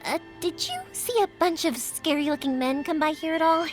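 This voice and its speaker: Woman playing spirited young game heroine, high voice, earnest, caring, music. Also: close to an everyday voice. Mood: gentle. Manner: earnest and caring, quick to worry. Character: warm, spirited young fireworks-maker woman. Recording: game dialogue over loud music.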